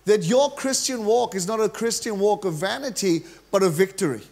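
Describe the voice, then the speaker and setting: A middle-aged man with a Welsh accent delivers an energetic, humorous sermon, his voice sitting notably high for a man. He speaks into a handheld mic on a large church stage. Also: moderate pace. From Wales. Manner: energetic, joking preacher, gestures freely while pacing the stage. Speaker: guest preacher.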